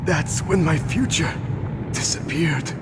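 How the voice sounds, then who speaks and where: A slow, eerie psychic villain. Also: male voice, fairly high pitch. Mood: grim sorrow. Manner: slow, theatrical, ominous. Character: dying masked psychic villain, bitter, nihilistic, eerie. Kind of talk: dramatic video game voice acting. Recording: long hall reverb, faint noise.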